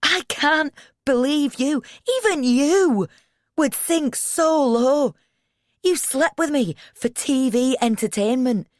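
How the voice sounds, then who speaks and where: A woman voicing a hurt, furious young man who yells, stutters and sneers in an audiobook. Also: high voice, slow, no room sound, studio quality, voice pushed up. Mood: furious shouting. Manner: yelling, stuttering, sarcastic.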